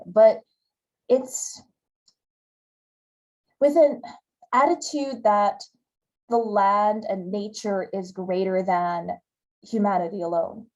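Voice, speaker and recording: Mellow-voiced woman from Hawaii giving a talk. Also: medium pitch, poor audio. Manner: conversational, with fillers and repeats. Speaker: guest speaker giving a talk. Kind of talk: lecture over slides.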